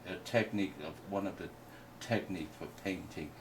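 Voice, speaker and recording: A man whose first language is Mandarin, teaching a painting workshop in a halting way with many restarts. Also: low-pitched. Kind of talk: hesitant workshop explanation. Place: indoor workshop room. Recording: distant mic with faint noise.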